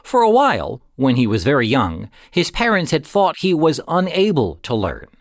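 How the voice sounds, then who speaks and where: Male audiobook reader, dramatic short story narration, very animated intonation, suspenseful delivery, dry recording. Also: mid-range voice, moderate pace, no room sound.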